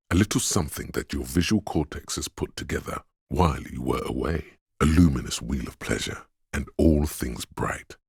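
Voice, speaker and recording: An actor voicing a detective's inner sense of sight in a video game, calm and observant, narrating in measured, literary lines with a dry wit. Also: male voice, no echo, exaggerated voice. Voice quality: very deep, gravelly, husky. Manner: measured, deliberate, dryly wry.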